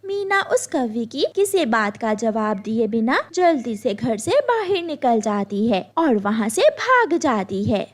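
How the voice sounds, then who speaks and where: A woman narrating a scripted children's cartoon story in an expressive storytelling manner. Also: on the high side, slow pace, hardly any pauses.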